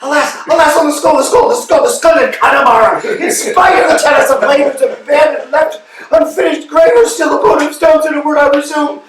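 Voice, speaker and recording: A man's voice: extremely high pitch, fairly quick, few pauses, fairly bright tone, slight background noise. A man doing a frantic old servant ranting, echoey and distant. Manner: breathless, rambling, repetitive ranting. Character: old exhausted male servant in a frantic monologue. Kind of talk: staged play reading. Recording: echoey room, distant mic.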